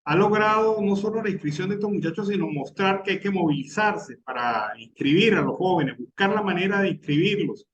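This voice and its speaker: A man's voice: high voice, animated, fairly quick, few pauses, lo-fi sound. Emphatic Venezuelan man hosting radio news. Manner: emphatic, indignant, building lists. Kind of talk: opinionated news commentary. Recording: distant mic with clear background noise.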